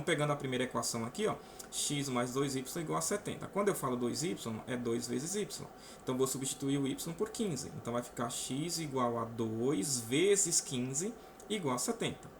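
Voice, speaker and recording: A man's voice: fairly high pitch, fairly bright tone, slight background noise. A Brazilian man teaching a math lesson to students, walking through each step and asking "né?" often. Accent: Brazilian Portuguese. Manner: explains step by step, often adds "né?". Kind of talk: casual online math lesson.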